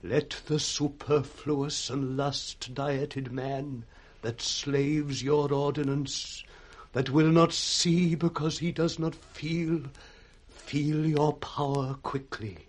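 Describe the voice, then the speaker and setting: An actor playing a wretched, blinded old nobleman with a British accent, husky and broken, in 1960s radio Shakespeare style. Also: fairly high pitch, fairly even intonation. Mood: bitter despair. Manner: slow, broken, declamatory.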